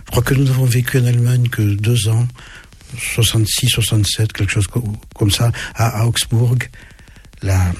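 A man in his seventies, a German native speaker raised in southwest France, answering as a guest author on a radio interview in a halting way with many restarts. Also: medium pitch, slight background noise. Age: 70s.

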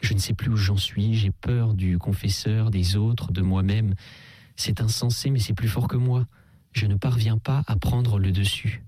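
A man's voice: on the low side, steady tone, measured pace, a little mellow, husky, no echo. A man reading an audiobook, voicing an anxious, doubting middle-aged man who speaks hesitantly. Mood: uneasy. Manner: hesitant, troubled.

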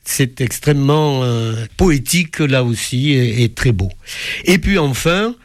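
A man's voice: mid-range voice, animated, a little slow, no room sound, clean studio sound. A man giving an enthusiastic spoken concert review as a music critic, effusive and fond of literary turns of phrase.

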